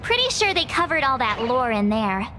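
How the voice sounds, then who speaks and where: A woman voicing a perky, chatty teenage girl adventurer in an anime-style video game, expressive, casual and teasing. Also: fairly high pitch, moderate pace, a little hiss or hum. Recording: background music under the voice.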